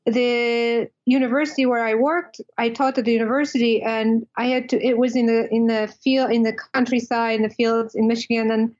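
A woman's voice: a little high, little pitch movement, normal speed, few pauses, a little mellow, lo-fi sound. A woman in her fifties from Poland, with a Polish accent, speaking as a guest nutrition expert in a casual interview, reflective and in short restarting phrases.

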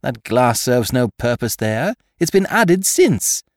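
A man voicing a triumphant, mocking gentleman thief in an audiobook, theatrical and commanding, firing off questions and taunts. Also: mid-range voice, big pitch swings, takes it slowly, dry recording, clean studio sound, voice pushed up. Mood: impatient, commanding. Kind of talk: dramatised audiobook character voice.

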